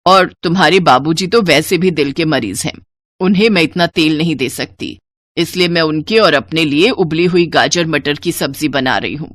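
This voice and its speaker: An older Indian mother-in-law played by a woman with a lowish voice and a dry, close sound. She is long-suffering and caring, and she admonishes as she explains, in the manner of a storybook moral tale. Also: normal speed, fairly bright tone, no room sound. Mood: weary scolding. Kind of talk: dramatised Hindi moral story.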